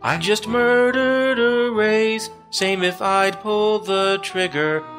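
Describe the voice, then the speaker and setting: A man's voice: high voice, slow pace, fairly bright tone. A man playing an idealistic special-ops officer, bitter with guilt and earnest, in a musical audio drama. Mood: anguished, bitter. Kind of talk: musical audio drama, spoken and sung lines. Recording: music behind the voice.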